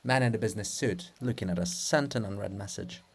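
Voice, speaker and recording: A person giving a dramatic reading of a play in a theatrical, deliberate manner, phrase by phrase. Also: low voice, creaky. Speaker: reader of a play.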